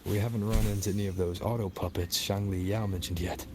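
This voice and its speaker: Low-voiced, serious man, played by an actor. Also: fairly even intonation, quick pace, background noise, close to an everyday voice. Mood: serious, guarded. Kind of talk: video game voice acting. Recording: background music. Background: game music.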